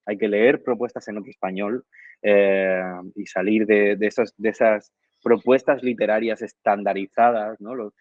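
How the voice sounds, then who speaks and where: A man answering radio interview questions in a reflective way, often pausing with fillers and tag questions. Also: fairly low pitch, fairly even intonation, no echo, phone-quality sound. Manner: thoughtful, frequent "eh" and tag questions. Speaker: guest, reading promoter.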